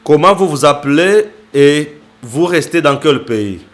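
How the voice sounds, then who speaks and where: A man's voice: fairly high pitch, animated, measured pace. A man hosting an online interview show, greeting viewers formally and firing off emphatic questions at his guest.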